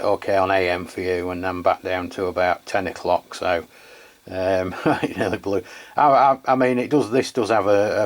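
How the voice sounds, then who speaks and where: An English man with an English accent, chatting casually with fillers while testing a CB radio. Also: low-pitched. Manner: relaxed, hesitant, many fillers. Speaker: CB radio reviewer. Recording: radio on-air test.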